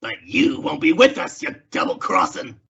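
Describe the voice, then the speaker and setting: An actor playing a gruff, menacing male outlaw in an old-time radio western. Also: a little high, wildly swooping pitch, normal speed, sharp tone, heavily acted. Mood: accusing, menacing. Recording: dull lo-fi audio with hiss, clicks.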